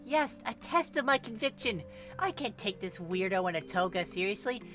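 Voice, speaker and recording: A man voicing a gullible, self-righteous, devout young woman in hushed, earnest self-talk. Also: sits high, lively intonation, normal speed, music in the background, pitched above the performer's own voice. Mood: self-convincing.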